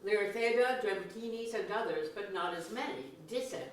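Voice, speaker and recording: A woman's voice: medium pitch, moderate pace. A woman reading stage directions aloud at a staged reading of a play. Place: museum auditorium. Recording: very echoey auditorium, far off, faint noise.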